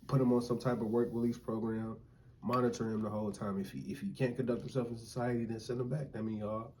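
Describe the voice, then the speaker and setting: A man chatting casually with his wife, laying out his view in a relaxed, reasoning way. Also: mid-range voice, fast talker, a little hiss or hum. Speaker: co-host, husband. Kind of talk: casual chat.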